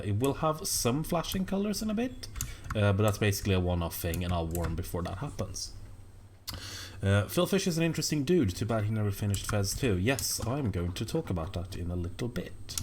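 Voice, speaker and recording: A man live-streaming a video game at his home computer, chatting casually and reading viewer messages aloud in a soft, friendly way. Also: lowish voice, very animated, fairly quick, slight background noise. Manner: soft-spoken, reads viewer chat aloud and thanks viewers warmly. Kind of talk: casual live-stream chat while gaming.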